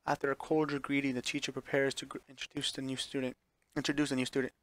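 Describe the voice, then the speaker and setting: Guy streaming a visual novel, reading it out loud, trips on words n fixes them, dry close mic. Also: mid-range voice, level tone, fast talker, no room sound. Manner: stumbles over words and corrects himself while reading. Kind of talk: live playthrough read-aloud with offhand reactions.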